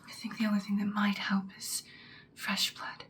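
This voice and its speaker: A woman playing a commanding female vampire mentor in a whispered ASMR roleplay, speaking softly, breathily and deliberately. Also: medium pitch, monotone, lightly acted. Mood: ominous, musing. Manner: slow, deliberate, instructing, ominous. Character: ancient-seeming female vampire mentor, commanding, once ruthless, now good.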